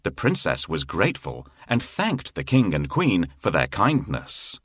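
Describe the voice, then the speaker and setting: Deep, husky male storyteller, very expressive. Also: unhurried, no room sound, clean studio sound. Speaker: storybook narrator. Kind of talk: scripted fairy tale narration for children. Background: faint music.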